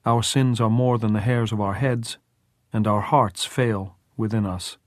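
A man playing a solemn mourner reciting scripture in precise, measured, pleading lines for an audiobook. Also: lowish voice, steady tone, no room sound, studio quality, lightly acted. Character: solemn man reciting a psalm over a grave.

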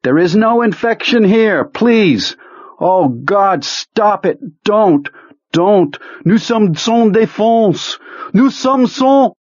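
Man acting terrified high-pitched man begging for mercy. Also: steady tone, normal speed, few pauses, darkish tone, no echo, dull audio, close to an everyday voice. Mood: weeping, anguished pleading. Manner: pleading, crying out. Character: terrified, pleading man screaming for mercy in English and French.

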